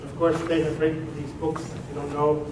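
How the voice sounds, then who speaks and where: A middle-aged Swiss man with a Swiss German accent talks a meetup audience through his work in an easy, conversational way. His voice sits a little high and stays fairly level. It was captured from a distance in a reverberant room, with steady noise underneath. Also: a little fast. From Switzerland. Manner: relaxed and conversational, with frequent "uh" and "um". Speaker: presenter at a tech meetup. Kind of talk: technical talk to an audience. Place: meeting room at a company office. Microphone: room recording from a distance.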